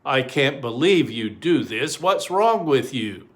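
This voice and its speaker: A man's voice: medium pitch, big pitch swings, slow pace. A man voicing stern, practical parents in a storybook, scolding and exasperated.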